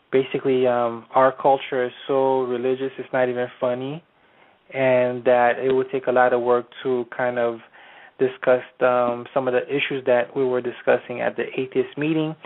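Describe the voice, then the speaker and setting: Hesitant male radio host on a phone line. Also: mid-range voice. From Haiti. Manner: hesitant, many ums and restarts. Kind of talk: casual internet radio interview. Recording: tinny phone-line audio.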